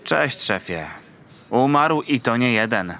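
An actor playing a fawning, cutesy young police subordinate in an audio drama. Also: male voice, mid-range voice, lively intonation, takes it slowly, pushed and bright, slight background noise, heavily acted. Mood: ingratiating. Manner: ingratiating, cutesy.

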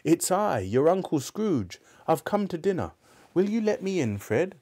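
Elderly reformed miser, giddy and eager, pitched up , voiced by a male narrator. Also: normal speed. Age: older. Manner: excited, eager, breathless warmth. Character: overjoyed elderly miser turned generous man.

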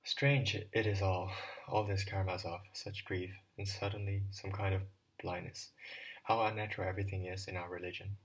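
A proud, prickly teenage boy talks low and clipped, with a sharp edge, read by a male storybook narrator. The voice stays low and husky. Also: little pitch movement, moderate pace, low-quality recording. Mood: hushed. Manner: hushed, clipped, irritable.

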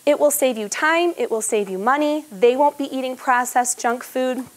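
A woman's voice: sits high, normal speed. A woman in her thirties giving an upbeat, chatty cooking demo straight to the viewer in a large home kitchen. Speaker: cooking instructor. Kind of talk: cooking show audition demo.

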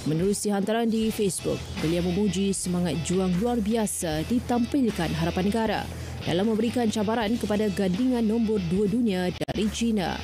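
Brief: young female sports anchor, even mid pitch, formal studio read with background music. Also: brisk. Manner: steady, formal delivery. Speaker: sports news presenter. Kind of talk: scripted news reading. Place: TV news studio. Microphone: studio mic. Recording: news music bed underneath.

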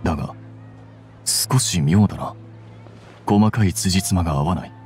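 Aloof young male scholar, anime game: deep, unhurried, composed, explains with detachment. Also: darkish tone, soft background music. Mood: detached.

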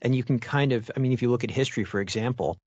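A man's voice: medium pitch, very fast, a little mellow, slightly rough, dry recording, highs cut off. Man hosting a podcast interview. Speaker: podcast host. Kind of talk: scripted intro then interview questions.

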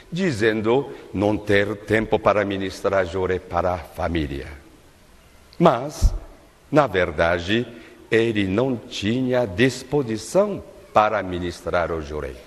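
An older man preaching a sermon at a lectern in a large worship hall, in a slow, measured, emphatic delivery. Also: lowish voice. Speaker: minister giving a testimony-based sermon. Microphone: lectern microphone. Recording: long reverb of a large hall.

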